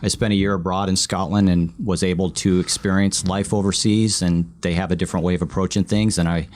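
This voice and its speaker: A man's voice: sits low, flat delivery, a little fast, darkish tone. A man in his forties from Alaska, whose first language was Vietnamese, answering interview questions in a modest, friendly, matter-of-fact way. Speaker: guest, business lawyer.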